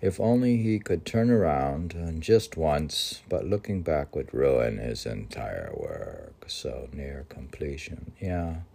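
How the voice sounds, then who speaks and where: Male poetry reader, dark mellow tone, very slow pace, musing and conversational between recitation and offhand remarks. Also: a bass voice, big pitch swings, gravelly, slightly rough. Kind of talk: poetry reading with casual rambling asides.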